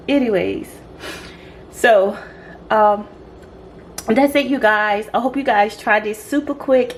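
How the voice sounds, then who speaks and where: A woman hosting a casual home cooking vlog, her voice stuffy and congested, chatting in an unhurried way with lots of fillers. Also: a little high, slight background noise. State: stuffy, congested voice after a sore throat. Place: home kitchen.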